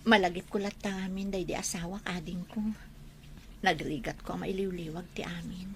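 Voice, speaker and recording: A woman playing a sorrowful, weary widow in a radio drama, softly downcast and breathy, with an Ilocano Filipino accent. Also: lowish voice, steady tone, normal speed, slight background noise, lightly acted. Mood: mournful, weary. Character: sorrowful, weary widowed older sister figure.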